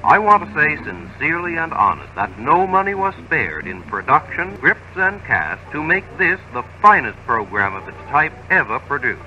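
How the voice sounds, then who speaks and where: A man announcing in a formal, persuasive old-time radio manner, with a very bright tone and a lively, animated pitch. The sound is dull and lo-fi, like an old radio recording, with faint music underneath. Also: a little hiss or hum. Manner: earnest, persuasive, formal delivery. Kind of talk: 1930s radio sponsor pitch and narration.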